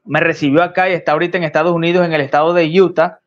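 A young Venezuelan man talks as a polite, chatty guest in a live video call. His voice is a bit higher than most men's, and the sound comes through slightly dull. He answers with easy courtesy. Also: level tone, rapid-fire, faint music behind. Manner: chatty, polite, says con todo gusto. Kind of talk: casual live stream chat.

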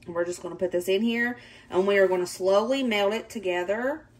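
A hoarse woman with a Southern US accent, chatting casually in her home kitchen as she walks through a recipe step by step. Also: medium pitch, a little fast. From Southern United States. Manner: chatty and relaxed, gives step-by-step directions. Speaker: home cooking vlogger.

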